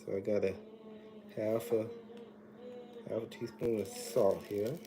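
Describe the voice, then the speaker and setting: Slightly hoarse man, chatty coach. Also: on the low side, a little slow, slight background noise. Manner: relaxed, chatty, advice-giving. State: slightly hoarse, recovering from illness. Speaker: nutrition coach. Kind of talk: casual live cooking chat. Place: home kitchen, indoors. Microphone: phone camera at arm's length, earbuds.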